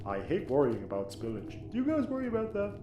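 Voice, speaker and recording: An actor playing a naive, earnest oddball crewmate who rambles cluelessly in a sci-fi comedy. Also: male voice, a little high, huge pitch swings, fast, highs cut off. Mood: earnest rambling. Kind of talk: sci-fi audio comedy acting. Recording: roomy echo, faint background noise.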